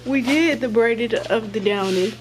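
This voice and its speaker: A woman's voice: a little high, measured pace, background noise. A twenty-year-old woman vlogging at a hair salon, chatting casually and playfully to the camera in slang. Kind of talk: casual vlog chat to camera.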